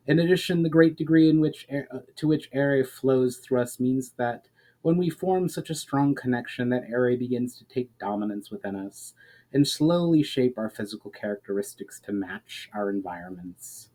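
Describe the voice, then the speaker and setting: A man voicing a grave, ancient elf in a fantasy storybook reading, speaking in a measured, formal, explanatory way. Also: medium pitch, animated, warm tone, natural voice, rough recording. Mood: solemn.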